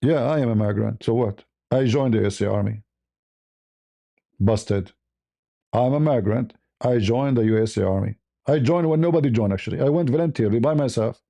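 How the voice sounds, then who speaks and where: A man's voice: mid-range voice, a little fast, vocal fry, mellow. A man with an Arabic accent giving a blunt, off-the-cuff opinion monologue as a livestream host, repeating phrases for emphasis.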